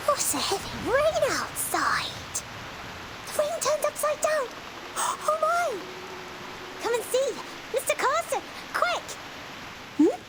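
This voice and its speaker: A woman's voice: extremely high pitch, background noise, heavily acted. An actress playing a sheltered, earnest young noble lady in a video game, polite and wide-eyed, with music behind. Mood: excited, alarmed.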